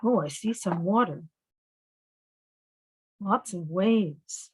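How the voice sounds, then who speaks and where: A woman storyteller reading picture books aloud to young children, pausing to ask them simple questions. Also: mid-range voice, slightly rough, dry recording.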